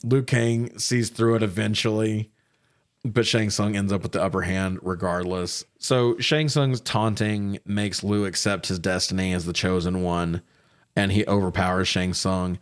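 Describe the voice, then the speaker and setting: A man hosting a casual film review podcast, recapping in an animated, profane way with frequent laughter. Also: on the low side, unhurried, clear, resonant tone. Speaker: podcast host reviewing films. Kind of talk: casual recap and banter between friends.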